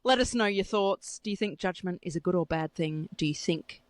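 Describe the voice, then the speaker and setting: A woman's voice: on the low side, fairly quick, dry recording. A woman co-hosting a podcast in casual chat, playful and teasing. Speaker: podcast co-host.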